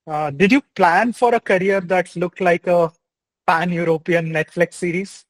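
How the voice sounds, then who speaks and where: A man's voice: high voice, fairly even intonation, measured pace, no room sound. A young man hosting an interview, reading an enthusiastic intro and asking questions in an upbeat way. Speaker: host.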